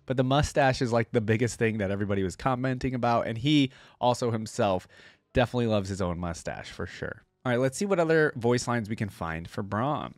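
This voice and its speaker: A man's voice: medium pitch, lively intonation, fairly quick, no echo. A man hosting a reaction video, talking casually and informally with plenty of enthusiasm into a close mic.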